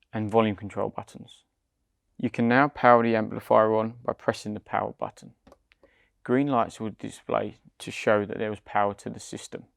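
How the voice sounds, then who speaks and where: British man, medium pitch, creaky voice, dry close sound, measured instructional narration. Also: no room sound. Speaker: product demonstrator. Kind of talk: scripted step-by-step instructional narration.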